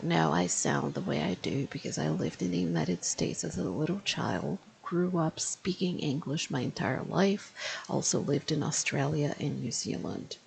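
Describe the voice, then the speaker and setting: A woman in her fifties, an English teacher, giving a spoken video review in a deliberate, explanatory way and slowing down to stress key phrases. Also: sits low, creaky, a little hiss or hum, low-quality recording. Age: 50s.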